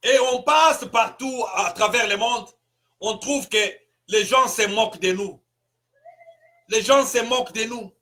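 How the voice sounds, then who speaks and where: Impassioned high-voiced Congolese preacher. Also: male voice, measured pace. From Congo (DRC). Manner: emphatic, rhetorical questions, bursts of shouting. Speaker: pastor. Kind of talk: impassioned political sermon.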